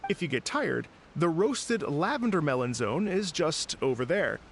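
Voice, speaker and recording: A man's voice: a little high, sing-song, normal speed, gravelly, slight background noise. A man playing an earnest, courteous and slightly hesitant festival organizer in a video game. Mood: courteous, explanatory. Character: earnest polite gentleman music festival organizer.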